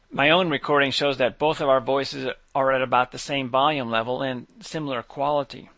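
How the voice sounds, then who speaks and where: A man's voice: mid-range voice, a bit bright, no room sound. Man reading a dry scripted monologue. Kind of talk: scripted monologue read aloud.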